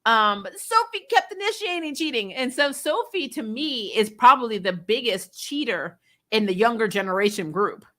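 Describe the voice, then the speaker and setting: A woman's voice: on the high side, expressive, normal speed, fairly bright tone. A woman hosting a casual, opinionated TV review, speaking candidly and emphatically in a conversational way with some mild swearing. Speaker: host of a TV show review channel. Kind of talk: casual, opinionated episode commentary.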